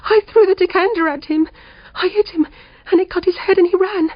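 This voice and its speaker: A woman reading a story, voicing a shaky, excitable woman with a tremulous, breathless manner. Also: extremely high pitch, fairly quick, no room sound, dull audio, voice pushed up. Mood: shaky hysteria. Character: June, shaky excitable woman burgled at home.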